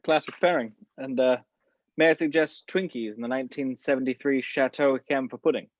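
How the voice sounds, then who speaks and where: A man reading a suave, polished gentleman spy in an online table read, with a measured, refined delivery. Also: medium pitch, lightly acted. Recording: dry, dull home mic.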